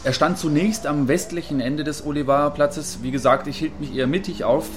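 A man playing an earnest field reporter in a radio play, giving wordy, factual and deliberate live reports. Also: a little high, fairly even intonation, faint music behind, lightly acted. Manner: factual, deliberate, long-winded.

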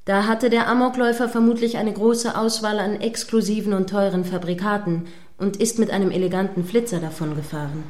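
Radio play casting: actress as prim female news anchor, mid-pitched, earnest, formal and wordy. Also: steady tone, a little mellow, natural voice. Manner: formal, measured, wordy phrasing. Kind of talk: satirical radio play as live news broadcast.

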